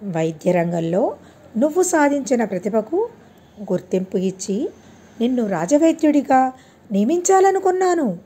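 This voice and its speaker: A stern king who has taken offence, read by a woman storyteller, delivers a formal reproof in a moral tale. The voice is husky and mellow, with wide, commanding swings of pitch. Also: big pitch swings, normal speed, long pauses. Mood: stern, offended. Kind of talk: audiobook storytelling.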